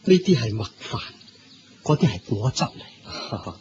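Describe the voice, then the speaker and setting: An eager, very animated male devotee. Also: medium pitch, measured pace. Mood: respectful, curious. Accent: Hong Kong Cantonese. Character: eager, respectful male devotee offering food. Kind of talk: Cantonese radio drama acting. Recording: muffled radio recording, clear background noise.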